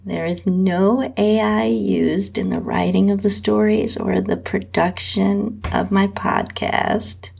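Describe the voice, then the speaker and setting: Slow, husky woman narrator with creaky voice. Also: mid-range voice, steady tone, a little mellow. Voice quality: husky, breathy. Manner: slow, drawn-out reading with whispery dips. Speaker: host and story narrator. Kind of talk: scripted first-person erotic story narration.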